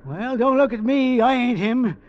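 An actor playing a touchy, suspicious old island drifter with a folksy, uneducated drawl in vintage radio drama. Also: male voice, very high voice, normal speed. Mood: touchy, irritated. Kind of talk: 1940s-50s radio drama acting. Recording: muffled, noisy vintage radio recording.

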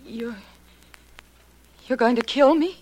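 A woman's voice: on the high side, slow pace, a little mellow. An actress in a 1940s radio melodrama playing a proud woman under threat. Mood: nervous fear. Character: threatened woman, haughty then desperately frightened. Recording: noisy old 1940s radio recording.

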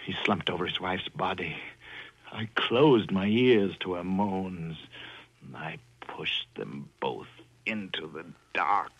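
A man's voice: sits low, takes it slowly, fairly bright tone, breathy edge, dull audio, full character voice. An actor playing a ruthless, starving castaway who becomes a murderer and narrates his own story in 1940s radio drama style. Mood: brooding. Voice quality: hard-edged, brooding first-person narration. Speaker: narrator and lead character. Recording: hissy old radio broadcast recording.

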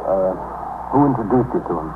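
A man's voice: fairly low pitch, brisk, close to an everyday voice. An actor playing an unruffled police patrolman in a 1950s radio drama, with clipped, probing, matter-of-fact speech. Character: businesslike, unruffled male police patrolman questioning witnesses. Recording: tinny, hissy 1950s radio broadcast.

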